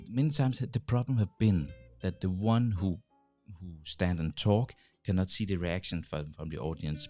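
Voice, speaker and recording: A man with a Danish accent talks straight into a studio boom mic, his voice low and deep. He speaks in a halting way, restarting words and filling pauses, with faint music under him. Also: dark tone. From Denmark. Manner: halting, many restarts and fillers, gestures while talking. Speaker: host. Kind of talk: casual talk to camera. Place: small home studio with dim lights. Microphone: large studio mic on boom arm, headphones.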